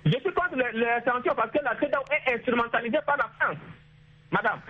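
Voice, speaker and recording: A man with a Chadian accent calling in to a radio show, arguing his political opinion haltingly, repeating words. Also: extremely high pitch, heavy background noise. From Chad. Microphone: phone. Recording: muffled, lo-fi phone line.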